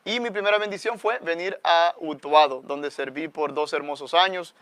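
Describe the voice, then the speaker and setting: A man's voice: on the high side, moderate pace, fairly bright tone, smooth, dry recording. A man with a Salvadoran accent, a guest priest teaching conversationally and reflectively, often adding questioning tags.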